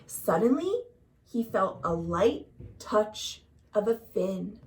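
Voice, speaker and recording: A woman reading a picture book aloud to children as a storytime host, expressive and deliberate. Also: mid-range voice, distant mic, poor audio. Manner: dramatic, deliberate, addresses young listeners. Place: at home.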